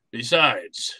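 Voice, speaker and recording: Man acting a cunning older crime boss, jovial, courtly, florid, unhurried, crisp bright tone, radio drama. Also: mid-range voice, no echo, full character voice. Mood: sly, courteous. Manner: florid, formal, chuckling politeness.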